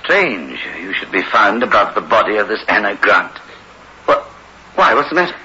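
An actor playing a practical, authoritative prison governor, measured and commanding, in a 1960s radio horror drama. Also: male voice, lowish voice, sing-song, pushed and bright, exaggerated voice. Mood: skeptical, puzzled. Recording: dull, noisy vintage radio recording.

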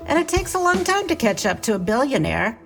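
A woman's voice: high-pitched, very animated, brisk. A woman acting as an earnest, confiding bus operator narrating an audio drama in first person. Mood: confiding, loyal. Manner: confiding, matter-of-fact. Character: earnest, loyal woman bus operator telling her story. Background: prominent guitar music.

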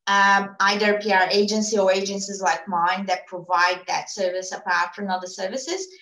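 A woman's voice: medium pitch, little pitch movement, moderate pace, fairly bright tone. Immigrant woman, english second language, chatty earnest answers, lots of um and restarts, roomy echo. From immigrant to Australia. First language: not English. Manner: earnest, frequent fillers and restarts. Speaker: PR agency owner, guest. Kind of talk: casual interview answers.

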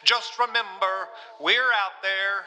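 A man's voice: high voice, steady tone, slow, bright, forceful tone, exaggerated voice. A man playing a courtly, prim, doting husband in an old-time radio show, florid and formal in a folksy way. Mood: florid, earnest.

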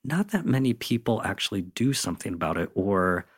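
Low-voiced man explaining his work. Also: very animated, fairly quick, breathy edge. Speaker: interview guest, content designer. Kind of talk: conversational interview answers.

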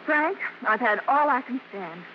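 Spirited American family woman, fairly high voice, muffled vintage radio drama. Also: expressive, measured pace. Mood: fed up. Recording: dull, faintly noisy old radio recording.

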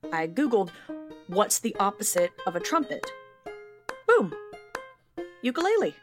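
Petty woman, very animated. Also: medium pitch. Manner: wry, theatrical, conversational with stumbles. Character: petty, indignant woman feuding with a noisy neighbor. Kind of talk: comic monologue in audio drama.

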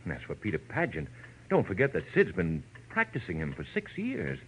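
A cold, calculating villain from a 1940s radio crime show. His voice is low and swings widely in pitch with emphatic control, over clear hiss and noise. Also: wildly swooping pitch, brisk. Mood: uneasy, protesting. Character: cold, calculating male talent agent turned murderer. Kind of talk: 1940s radio drama acting. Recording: noisy old radio recording.

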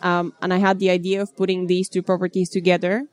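A woman from Bulgaria in her thirties, a startup founder answering interview questions in a measured, businesslike way. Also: on the low side, monotone, no room sound.

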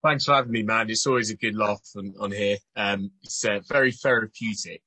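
A man's voice: fairly low pitch, steady tone, brisk, sharp tone, breathy edge, no echo. A man guesting on a podcast, easygoing and chatty. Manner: easygoing, laughs often. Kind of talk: casual podcast chat.

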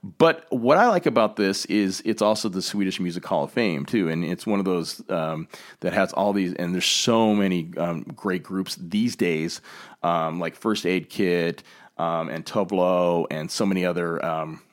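A very deep-voiced American man hosts a relaxed travel conversation. He rambles a little, circling back with fillers and restarts. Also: unhurried. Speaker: podcast host. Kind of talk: casual travel chat.

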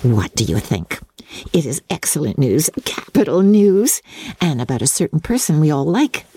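A woman's voice: low-pitched, big pitch swings, normal speed, dark tone, husky, no room sound, heavily acted. A woman voicing a giddy, gossipy, careless teenage girl in an audiobook, gushing and chattering. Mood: gossipy, triumphant.

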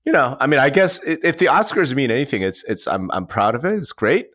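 A man's voice: medium pitch, sing-song, a little fast, a bit bright. A man co-hosting a podcast in a casual, slangy and joking way. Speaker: podcast co-host.

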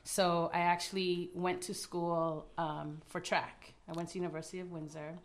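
A woman's voice: fairly low pitch, flat delivery, normal speed. A woman from Canada, a guest choreographer, telling her story in a giggly, nervous, chatty way. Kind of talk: casual interview storytelling.

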